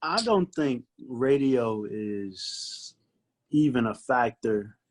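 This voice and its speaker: A man's voice: medium pitch, expressive, slow. Emphatic man in a casual podcast chat. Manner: opinionated, emphatic. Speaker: guest recording artist. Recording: dull lo-fi audio.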